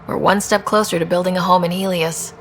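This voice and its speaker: A woman's voice: fairly low pitch, fairly even intonation, fairly quick, noisy background, natural voice. A woman playing a determined, direct young squad leader and explorer in a science fiction video game. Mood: focused.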